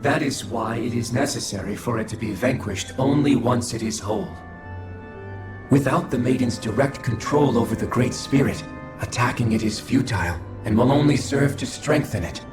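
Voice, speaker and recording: A man plays a detached alien observer from a video game, speaking in formal, measured, pedantic phrases without any feeling. The voice is mid-pitched and rings with strong echo, with music clearly behind it. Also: close to an everyday voice. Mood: cold, expository. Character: cold, detached alien observer of a fallen species. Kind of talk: scripted video game voice acting.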